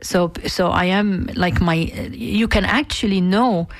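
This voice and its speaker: A woman's voice: fairly low pitch, slow, no echo. A Palestinian woman with an Arabic accent, hosting a radio interview and asking long, earnest questions. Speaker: radio host.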